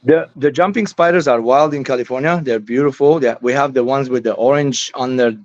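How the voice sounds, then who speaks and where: A rambling, talkative man in his forties with an Armenian-Lebanese accent, his first language Armenian. The sound is dull and low-fidelity, and very dry with no room in it. His pitch sits in the middle for a man. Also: a little fast, dry recording. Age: 40s. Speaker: guest hobbyist. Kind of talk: casual chat.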